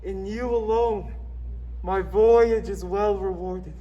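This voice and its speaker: A man's voice: high-pitched, fairly even intonation, slow, highs cut off, heavy background noise. A young man acting an ardent young seafaring hero in a stage play, earnest and declamatory. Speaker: student actor in a school competition play. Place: theatre stage, live performance.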